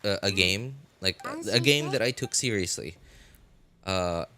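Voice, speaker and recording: A man hosting a game livestream, chatting casually in a halting, rambling way and often repeating and rephrasing himself. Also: lowish voice, animated, pushed and bright, slight background noise. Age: adult, college graduate. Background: music.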